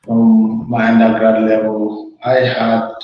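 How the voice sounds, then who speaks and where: Young Ghanaian male, unhurried and halting delivery, heard through dull audio in a very reverberant room. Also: fairly low pitch, monotone. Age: young adult. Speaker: webinar guest, PhD student. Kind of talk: informal interview, introducing himself. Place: study room, online call. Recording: echoey room, muffled online call audio.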